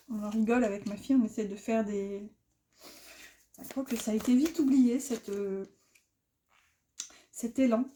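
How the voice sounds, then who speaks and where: A woman's voice: a little high, mellow, slight background noise. A middle-aged woman giving a casual tarot reading, chatty and rambling, with many fillers and restarts. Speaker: tarot reader.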